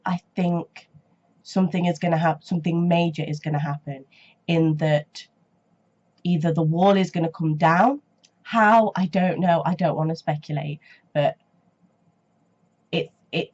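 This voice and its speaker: Woman, young adult, congested from a cold, sore throat, low voice, rambling with ums, dull lo-fi recording. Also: darkish tone. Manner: rambling and hesitant, many ums and restarts. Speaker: reaction channel host. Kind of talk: casual reaction vlog chat.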